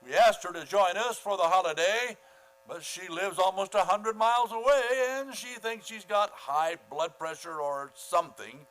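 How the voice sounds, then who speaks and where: Man acting a pompous, higher-pitched school principal. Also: fairly bright tone, poor audio. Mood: self-pitying, pompous. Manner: pompous, formal, halting. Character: pompous, fussy, falsely gracious male school principal. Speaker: actor in a community radio play. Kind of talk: old-time radio comedy acting.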